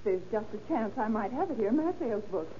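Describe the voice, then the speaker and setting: A woman's voice: fairly high pitch, lively intonation, fast talker, dark tone, close to an everyday voice. An actress playing a fussy, talkative hat shop saleswoman with a rambling, fluttery manner in 1930s radio style. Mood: searching, flustered. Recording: muffled, hissy old radio recording.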